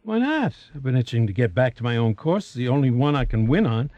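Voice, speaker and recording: An American actor playing a breezy, self-absorbed husband in a radio drama, offhand and dismissive. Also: male voice, mid-range voice, very animated, fairly quick, no echo, dull audio, lightly acted. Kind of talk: 1980s radio drama acting.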